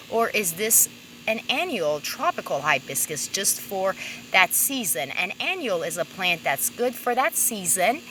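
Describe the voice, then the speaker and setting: A woman's voice: on the low side, sharp tone, slight background noise, rough recording. A woman from Persia presenting an informal gardening vlog outdoors, upbeat and appreciative in manner. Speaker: plant vlogger and cultivator. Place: outdoors in a sunny garden by a fence.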